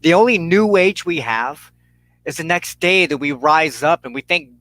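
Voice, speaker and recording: A man preaching an impassioned monologue as a spiritual show's host, emphatic and deliberate, with dramatic pauses and repeated phrases. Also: a little high, lively intonation, a bit bright, low-quality recording.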